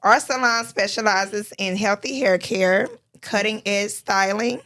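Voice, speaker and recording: A woman's voice: medium pitch, fairly even intonation, pushed and bright. A woman hosting a casual salon-industry podcast. She chats easily, laughs often and stumbles a little over read-out introductions. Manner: chatty, laughs often, reads introductions haltingly. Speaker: podcast host. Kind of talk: casual chat.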